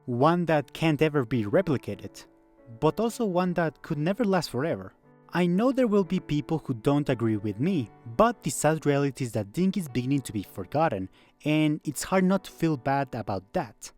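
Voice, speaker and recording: Higher-pitched man narrating with lively intonation. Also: moderate pace. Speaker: video essay narrator. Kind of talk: scripted narration. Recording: background music under voice.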